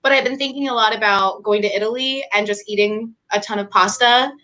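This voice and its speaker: A woman's voice: mid-range voice, brisk, sharp tone. A young woman from New Hampshire, a doctoral student talking casually as an interview guest, chatty with frequent fillers and laughs. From New Hampshire, USA. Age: young adult.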